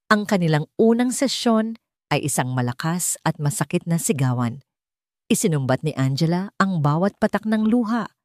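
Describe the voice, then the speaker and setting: A woman's voice: fairly low pitch, darkish tone, no room sound, studio quality. A woman narrating a scripted dramatic story as its storyteller.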